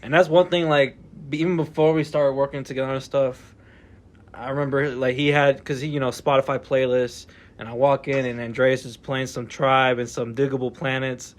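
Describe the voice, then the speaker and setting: A man's voice: mid-range voice, moderate pace. A man hosting a casual podcast, talking in laid-back slang and asking his guest questions. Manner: laid-back slang, animated when quoting. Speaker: podcast host.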